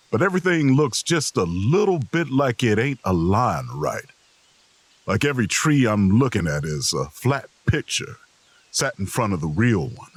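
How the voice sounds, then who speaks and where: A weary, ailing road traveler played by a man in an audio drama. He has a husky voice that rises and falls as he reflects dreamily, recorded close and dry. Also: lowish voice, no room sound, exaggerated voice. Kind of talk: audio drama, slow reflective first-person narration.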